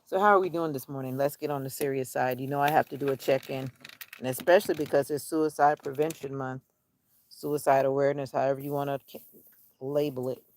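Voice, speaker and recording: A woman's voice: extremely low pitch, steady tone, fairly quick, a little mellow, dry recording. A woman vlogging casually outdoors, musing in a rambling way to herself and her viewers. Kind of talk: casual outdoor vlog chat. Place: outdoors on a nature walk.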